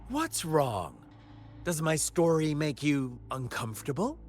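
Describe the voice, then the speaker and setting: A man playing a sly, eloquent storyteller in a video game, theatrical and condescendingly playful, savoring his pauses over background music. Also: a little high, sing-song, slight background noise. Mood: sly storytelling. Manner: theatrical, savoring pauses, teasing rhetorical questions. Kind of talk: dramatic video game dialogue and fable narration.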